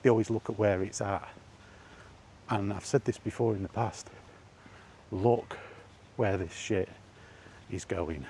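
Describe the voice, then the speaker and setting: A man talks in a low, mellow voice, lecturing bluntly and with emphasis. It is an opinion rant from a gaming vlogger, recorded while he walks outdoors, with faint noise behind him. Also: a little slow, creaky, pauses often. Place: outdoors by allotments.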